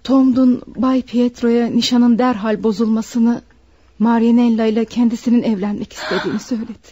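An actress playing a desperate, bitter servant woman confessing to murder in a deliberate, dramatic radio play style. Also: a little high, steady tone, dark tone, husky, no room sound. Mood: grave confession. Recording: dull, dry radio recording.

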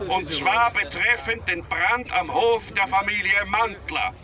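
An actor playing a formal police inspector, addressing a crowd in stiff official phrasing in a radio drama. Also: male voice, high-pitched, unhurried. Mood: formal, measured. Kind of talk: German radio drama acting.

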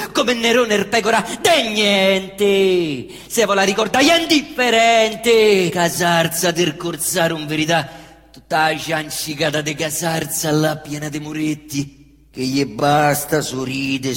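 A man acting a young Roman street hustler in a stage monologue, with a Roman accent, veering from muttering to shouting and cursing. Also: medium pitch. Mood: brooding, scornful. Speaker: stage actor. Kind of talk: theatrical monologue. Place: theatre stage. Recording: echoey theatre reverb.

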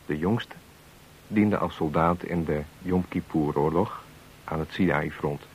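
Low-voiced man, formal radio announcer, hissy recording. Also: unhurried, poor audio. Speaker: announcer introducing a radio play. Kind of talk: formal scripted narration.

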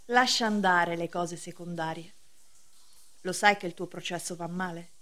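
An actress playing a solemn, severe preacher in a radio play, speaking in a measured, declamatory way. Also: female voice, fairly low pitch, steady tone, bright, forceful tone. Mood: grave, reproving. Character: solemn, severe female preacher, cryptic gatekeeper of justice. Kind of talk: theatrical radio drama acting.

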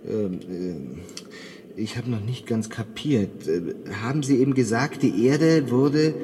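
An actor playing a bewildered, overwhelmed ordinary Earthman in a radio drama, halting and stammering with disbelief. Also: medium pitch, warm tone, lightly acted. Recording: long hall reverb, noisy ambient effects.

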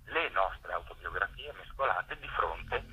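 A man explaining a project as an interview guest over a telephone line, pausing to search for words. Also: deep voice, lively intonation, bright, forceful tone, slight background noise. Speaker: theatre maker interviewed as guest. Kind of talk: radio interview, explanatory talk. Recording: tinny, rough telephone line.

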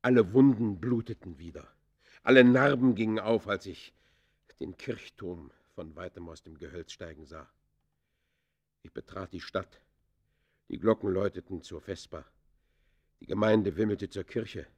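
Embittered ex-convict, low voice, theatrical radio play monologue, hushed to fierce, declamatory. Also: male voice, unhurried, long pauses. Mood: bitter, anguished. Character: embittered male ex-convict confessing his descent into crime. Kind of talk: German radio play acting, literary monologue.

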